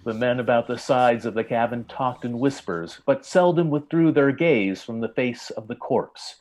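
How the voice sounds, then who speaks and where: A man narrating a story in old-time radio style, with formal, measured literary delivery. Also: mid-range voice, darkish tone, poor audio.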